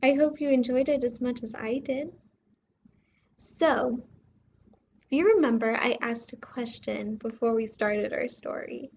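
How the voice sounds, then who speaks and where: A woman hosting a bedtime read-aloud for young children, expressive and putting questions to her listeners. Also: fairly high pitch, a little slow, slight background noise. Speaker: children's story reader and host.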